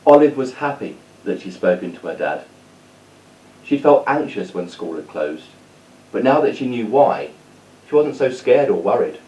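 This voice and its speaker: A man's voice: on the low side, sing-song, normal speed, darkish tone. A man speaking as a teacher, telling a story and chatting encouragingly to children. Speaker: teacher reading a story to pupils. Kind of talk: storytelling and informal address to children. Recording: dull sound, distant from mic.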